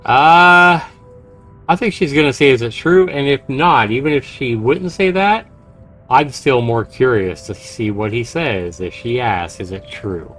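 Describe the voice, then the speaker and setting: A man livestreaming a game, commenting and reading visual novel text aloud in an expressive, dramatic way. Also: mid-range voice, a little slow, soft background music. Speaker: game streamer.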